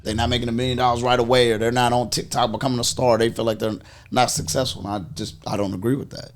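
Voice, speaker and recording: A man's voice: mid-range voice, flat delivery, fast talker. A man on a podcast panel, giving his opinions in informal, conversational language. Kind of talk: casual chat.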